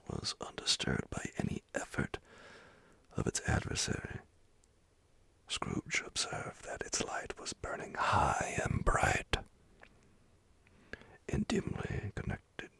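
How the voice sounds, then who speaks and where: Male ASMR narrator: hushed breathy whisper, wide swings in intonation, close and dry. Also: a bass voice, no echo. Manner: soothing, hushed, expressive reading. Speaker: storyteller, narrator. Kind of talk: whispered ASMR bedtime story reading. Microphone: close microphone, dry.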